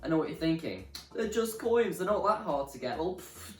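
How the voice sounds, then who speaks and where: A man presents a game review conversationally, with mock exasperation. His pitch moves around in a lively way. The sound is roomy, with music behind him. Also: moderate pace, distant mic. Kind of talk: scripted presenting to viewers.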